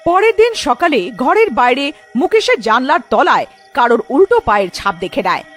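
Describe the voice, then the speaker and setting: A woman's voice: sits high, sing-song, a little fast, soft background music. A woman narrating a scripted cartoon story.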